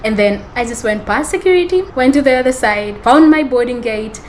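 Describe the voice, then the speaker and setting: Higher-voiced woman telling a rambling story. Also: expressive, a little fast, darkish tone, slight background noise. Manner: rambling, self-correcting storytelling with frequent laughter. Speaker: podcast host. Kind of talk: storytelling monologue.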